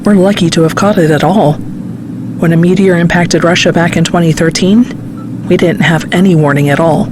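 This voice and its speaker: A woman playing a grave, weary scientist delivering dire news in an audio drama, somber and deliberate. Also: lowish voice, fairly even intonation, close to an everyday voice. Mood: somber, resigned. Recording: noisy car ambience.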